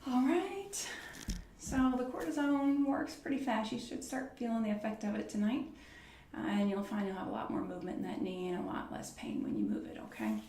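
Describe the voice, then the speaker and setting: Casting: woman, unhurried and softly spoken, reassuring ASMR doctor roleplay, roomy noisy recording. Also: on the high side, very animated, far from the mic. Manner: soft-spoken, reassuring, murmured step-by-step instructions. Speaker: ASMR performer playing an orthopedist. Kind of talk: ASMR medical roleplay, one-on-one personal attention. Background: clicks and handling sounds of props.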